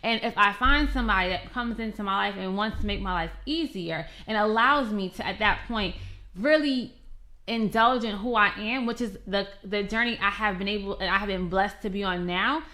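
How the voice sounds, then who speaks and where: Bright-voiced frank woman co-host. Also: medium pitch, normal speed. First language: Russian. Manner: frank and emphatic, laughs often. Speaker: podcast co-host. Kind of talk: casual group chat.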